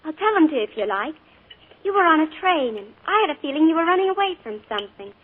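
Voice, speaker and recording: A woman's voice: sits high, big pitch swings. An actress playing an earnest young woman who is afraid of her uncle, in a 1940s radio drama. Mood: uneasy. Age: young adult. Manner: halting, anxious. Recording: tinny 1940s radio broadcast.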